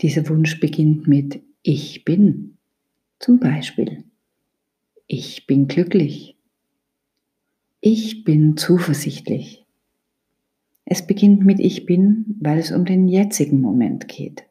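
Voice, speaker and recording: A woman guides a meditation in a low, dark, relaxed voice. She speaks very slowly and deliberately, leaving pauses between instructions. Her delivery is soft and breathy, close to a whisper, calm and soothing throughout. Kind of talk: guided yoga nidra meditation.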